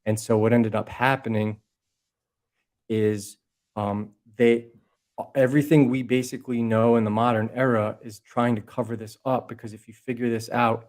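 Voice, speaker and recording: A man in a heated podcast conversation, his mid-pitched voice pushing forward with passion. He rambles, restarts sentences and swears casually as he lays out his theories. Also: steady tone, moderate pace, a little mellow. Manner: impassioned, profane, rambling with restarts. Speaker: podcast guest.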